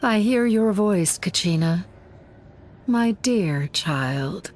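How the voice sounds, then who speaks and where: A woman playing a serene, loving guardian spirit in a video game, speaking softly and tenderly like a mother to her children. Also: medium pitch, expressive, faint music behind. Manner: tender, measured, reassuring. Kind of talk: dramatic video game acting.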